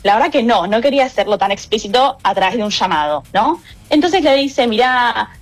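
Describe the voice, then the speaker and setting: A woman with a Rioplatense Spanish accent, a radio music columnist telling a story in casual chat, animated and quick to laugh. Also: a little high. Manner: lively, animated storyteller who laughs often.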